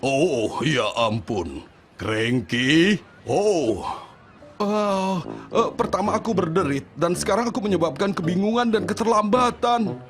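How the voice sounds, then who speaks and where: A very high, fretful voice a proud old harbour crane in a children's cartoon. He is defensive and easily flustered, exclaiming as he goes, with music clearly behind him. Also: expressive, unhurried. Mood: flustered worry.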